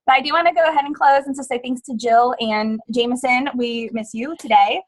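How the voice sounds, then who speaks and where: A woman's voice: high-pitched, a little fast, low-quality recording. A woman co-hosting a casual group video call, talking in a chatty, rambling way with fillers. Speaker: co-host.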